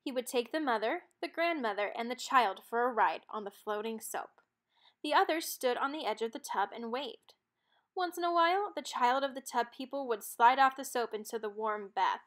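A woman narrating a children's storybook aloud in an expressive read-aloud style. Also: a little high, normal speed.

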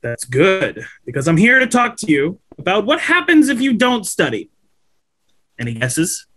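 A man's voice: high voice, huge pitch swings, moderate pace, fairly bright tone, exaggerated voice. A man in his thirties playing a slick, upbeat corporate representative lecturing schoolboys at a script table read. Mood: chipper. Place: indoors at home on a video call.

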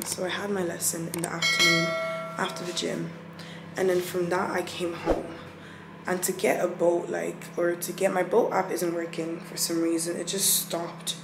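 A young woman in her twenties talks straight into her phone at arm's length, rambling through her day with a tired, laughing ease. Her voice sits a little low. The room gives it a noticeable echo, with steady noise behind her. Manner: chatty, rambling, laughs often. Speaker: vlogger. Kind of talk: casual vlog chat to camera. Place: indoors at home, bedroom. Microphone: phone held at arm's length.

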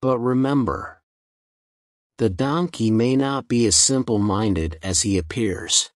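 A woman voicing a cunning male jackal in a storybook, smooth and coaxing. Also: very deep voice, slow, dry recording, studio quality, pitched below the performer's own voice. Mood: scheming. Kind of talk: storybook reading.

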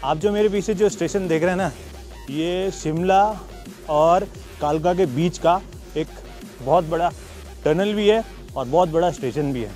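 A man in his thirties talks straight to the camera outdoors, pointing things out to his viewers. His voice sits a little high. Faint music plays in the background. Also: measured pace, pauses often, warm tone. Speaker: travel vlogger. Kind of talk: on-camera vlog talk. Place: outdoors by a mountain railway station.

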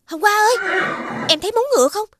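A woman playing a caring, sensible young elder sister, speaking politely and gently in a scripted children's fairy tale. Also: extremely high pitch, lively intonation, fast talker, background noise. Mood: determined.

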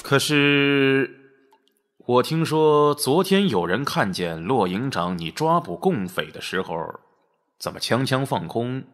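An actor playing a menacing military interrogator in an audio drama, mockingly polite and insinuating. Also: male voice, medium pitch, sing-song, slow. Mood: sly, insinuating. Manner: mock-courteous, insinuating. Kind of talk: Chinese audio drama acting.

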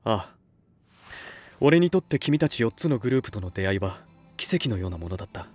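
A male actor playing an earnest, soft-spoken young record label head in an anime voice drama, speaking in a measured way. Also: on the low side, big pitch swings, breathy edge, faint music behind. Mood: earnest, reflective.

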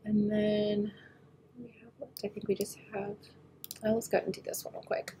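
A woman getting over a head cold, slightly nasal, talking through a photo editing tutorial as she works and often trailing off quietly. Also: mid-range voice, little pitch movement, moderate pace, a little hiss or hum, poor audio. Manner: thinks aloud while working, often trailing off quietly. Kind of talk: screen-recorded editing tutorial.

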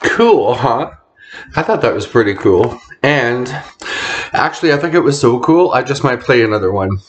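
A man's voice: lowish voice, highs cut off, slight background noise. A man in his thirties chatting casually to viewers as a vlog host, recorded on a camera mic in a home kitchen.

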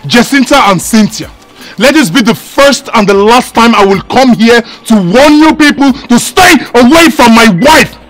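A man's voice: very high voice, a little fast, few pauses, fairly bright tone, soft background music, rough recording. A man with a Nigerian accent playing a jealous, domineering husband in a film drama, scolding and commanding and repeating himself. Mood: angry, threatening. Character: jealous, domineering husband guarding his young village wife.

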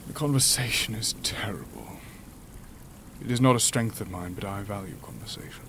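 An actor playing an imprisoned alien monster, gentle and philosophical, speaking in a formal, measured way for an audio drama. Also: male voice, on the low side, slight background noise. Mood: gentle, grave. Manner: formal, measured, stilted speech.

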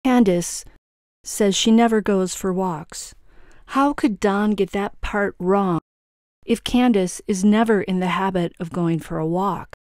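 A woman narrates true-crime commentary from a script, with a pointed, questioning delivery. Her voice sits at a middle pitch, recorded dry with very little room sound. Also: a little slow, darkish tone, no room sound.

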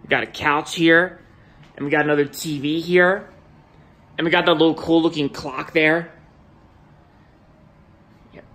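A young man with a slightly high voice walks through his new house with a phone camera and points things out as he goes. His talk rambles, with words repeated and sentences restarted. It sounds casual and unscripted, recorded on the phone inside the home. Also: fairly even intonation, unhurried, long pauses, a bit bright. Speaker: vlogger giving a house tour. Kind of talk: casual house tour narration. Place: indoors in a house, walking from room to room. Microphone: handheld phone camera held by the speaker, off screen.

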